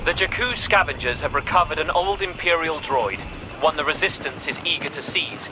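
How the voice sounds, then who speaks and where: An actor playing a stern, zealous military commander in a video game, speaking in a formal, declamatory, menacing way. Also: male voice, on the high side, animated, moderate pace, noisy background, lightly acted. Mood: cold resolve. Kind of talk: dramatic video game briefing. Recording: loud music behind.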